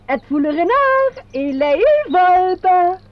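High-pitched, fussy, chattering woman. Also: very animated, takes it slowly, heavily acted. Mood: eager. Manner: exclamatory, teasing, theatrical. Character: excitable, chattering, fussy receptionist on a fox hunt. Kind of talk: radio play acting. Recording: dull, noisy old radio recording.

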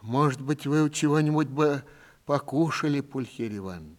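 A man's voice: medium pitch, expressive, fairly quick, voice pushed up, lightly acted. A man voicing a kindly, anxious old husband with an emphatic, fretful delivery in a literary story. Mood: anxious, coaxing.

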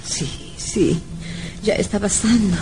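An actress playing a frail, meek young wife in an old radio drama, faint and in pain. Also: female voice, mid-range voice, slow, lightly acted, low-quality recording. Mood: pained, weak. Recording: old radio recording with music behind.

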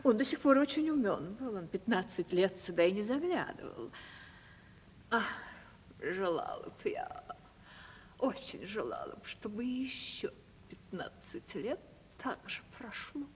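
An actress playing a coquettish, capricious aging landowner lady in a radio play, with affected, drawn-out theatrical delivery. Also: mid-range voice, sing-song, slight background noise. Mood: fretful, confiding. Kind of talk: theatrical 1970s Russian radio play acting. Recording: stage-like reverberant archival radio drama recording.

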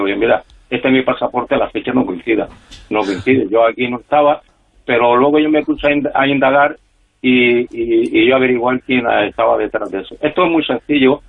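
A man's voice: medium pitch, vocal fry. An older man, a former freelance spy, tells his story on a radio interview at length, with many fillers and restarts. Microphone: telephone call. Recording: tinny phone line.

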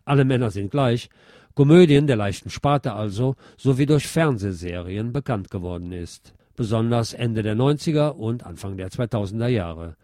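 Mellow-voiced man reading a film review. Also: medium pitch, expressive, normal speed. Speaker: film critic and radio show presenter. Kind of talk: scripted film review narration.

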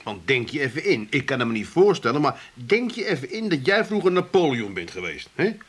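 An actor playing an excitable, nervy working-class son, colloquial and easily flustered, in a comic radio drama. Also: male voice, medium pitch, fairly quick, breathy edge. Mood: matter-of-fact.

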